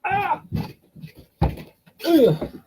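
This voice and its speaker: A man's voice: very high voice, sing-song, very slow, dark tone, a little hiss or hum. A man hosting a live stream, talking casually and rambling with many fillers and restarts, from a home webcam.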